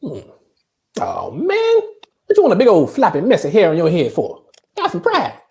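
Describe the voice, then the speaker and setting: High, wildly animated old barber. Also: male voice, normal speed, creaky, exaggerated voice. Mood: teasing, scolding. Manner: animated, folksy, tall-tale telling. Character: cranky, boastful old male barbershop storyteller. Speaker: actor in a table read. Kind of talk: comedy table read.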